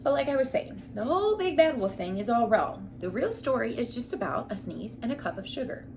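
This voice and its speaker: A woman's voice: a little high, very animated, a little fast, background noise, voice pushed up. A woman voicing a chatty, indignant male storybook wolf who protests his innocence in an emphatic, confiding way. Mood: defensive, indignant.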